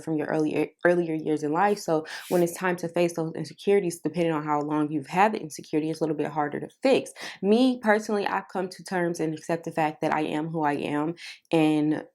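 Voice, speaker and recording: A young woman vlogging to camera about self-help in a casual, chatty way, with many fillers and a soft, careful delivery. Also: deep voice, fast.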